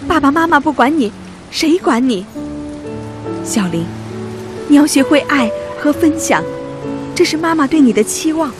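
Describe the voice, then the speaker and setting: A woman's voice: high voice, expressive, normal speed, long pauses. A woman acting in a radio drama as a busy mother of two, chiding but caring, with an admonishing maternal manner. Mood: earnest. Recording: music bed under the voice.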